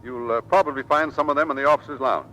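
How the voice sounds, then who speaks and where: An actor playing a stern but fair Navy squadron commander, clipped and authoritative, in old radio drama. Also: male voice, mid-range voice, fast talker, sharp tone, lightly acted. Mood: gruffly kind. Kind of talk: 1940s radio acting. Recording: faintly noisy vintage radio recording.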